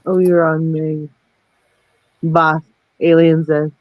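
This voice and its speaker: A woman's voice: low voice, level tone, slow pace, no echo. A woman chatting casually on a livestream, playfully reading out would-you-rather questions. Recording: dull lo-fi call audio.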